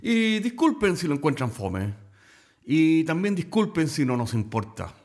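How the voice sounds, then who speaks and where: A man's voice: on the high side, sing-song, measured pace, darkish tone. A man announcing a comedy show, dry and ironic. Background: guitar music.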